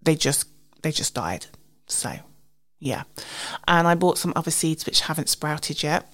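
A British woman hosting a casual, chatty solo podcast, slightly husky and sniffly from a cold, informal and self-deprecating. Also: sits low, animated, a little slow, a bit bright. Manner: informal, self-deprecating, recounts conversations in quoted dialogue. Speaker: podcast host.

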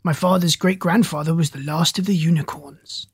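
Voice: mid-range voice, fairly even intonation, normal speed, slightly rough, dry recording, clean studio sound. A narrator voicing a shy, courteous two-headed gazelle-like creature who dislikes being stared at. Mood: polite. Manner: timid, courteous.

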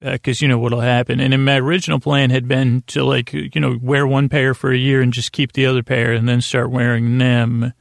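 A man hosting a sleep podcast, rambling in a halting, stammering, self-deprecating way that keeps circling back on itself. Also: medium pitch, flat delivery, creaky, no room sound, clean studio sound. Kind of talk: rambling bedtime monologue.

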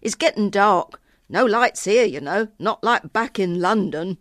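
Woman as a sulky, loud farm boy. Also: mid-range voice, lively intonation, measured pace, no echo, clean studio sound. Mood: unfriendly, curt. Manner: grumbling, bossy. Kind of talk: storybook reading.

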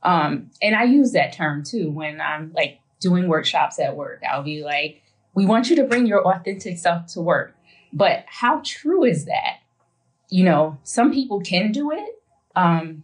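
A woman co-hosting a podcast in a relaxed, conversational way. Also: fairly low pitch. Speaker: podcast co-host.

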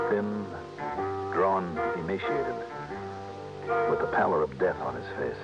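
A hard-boiled American investigator in a vintage radio drama. His voice is low and steady, that of a decent tough professional. The recording is dull and band-limited, with clear music underneath. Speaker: lead actor and first-person narrator. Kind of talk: 1960s American radio detective drama acting.

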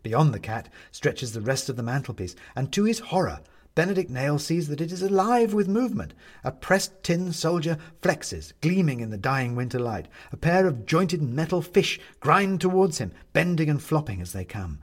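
Animated male storyteller. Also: fairly high pitch, normal speed. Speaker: audiobook narrator. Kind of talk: dramatic scripted story narration.

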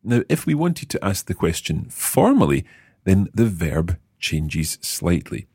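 A man with a low, slightly husky voice leads a language lesson. He talks with a lively rise and fall, repeating phrases slowly so they sink in. The sound is dry and close. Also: no echo. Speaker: language teacher and host. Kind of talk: explaining and quizzing co-hosts.

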